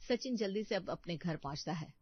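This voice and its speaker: A woman's voice: fairly low pitch, animated, a little fast, dry recording, lo-fi sound. A woman narrating a scripted story as its storyteller.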